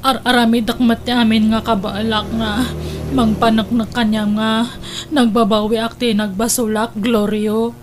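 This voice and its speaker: A woman's voice: a little high, level tone, moderate pace, a little hiss or hum, natural voice. A woman playing a remorseful young wife in a radio drama, pleading tearfully and emotionally with her husband. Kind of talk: Ilocano radio drama acting.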